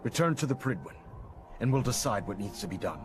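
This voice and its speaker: A man's voice: lowish voice, sing-song, fairly quick. A man playing a stern, zealous military commander in a video game, speaking with formal, oratorical authority. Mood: formal, proud. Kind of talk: scripted video game dialogue. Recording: game audio with music behind.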